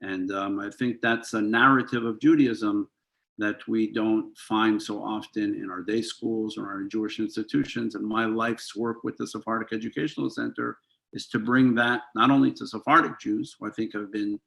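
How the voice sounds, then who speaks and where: A man's voice: on the low side, fairly even intonation, moderate pace, gravelly, a bit bright. A man in his fifties, a rabbi, speaking earnestly and reflectively on a video call panel, with frequent ums and uhs. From United States. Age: 50s.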